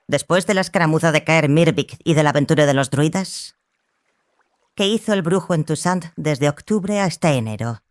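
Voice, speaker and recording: A woman playing an assured, scholarly female mentor in an audiobook drama, speaking with conviction and deliberate, questioning phrasing. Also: sits low, no echo, clean studio sound, lightly acted. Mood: assured, probing.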